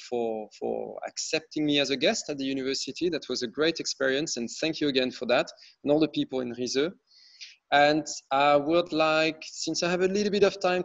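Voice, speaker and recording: A man giving his thesis defence presentation over a video call, halting with many fillers, his voice going hoarse. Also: fairly high pitch, dull audio. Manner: hesitant, many fillers and restarts. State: losing his voice. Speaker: PhD candidate.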